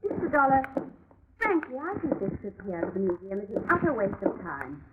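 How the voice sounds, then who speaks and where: Old narrow radio sound, woman acting brisk assistant, animated, proper, self-assured, 1940s drama. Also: mid-range voice, close to an everyday voice. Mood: impatient, superior. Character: brisk, efficient, self-assured young female museum assistant. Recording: muffled vintage radio recording, faint hiss.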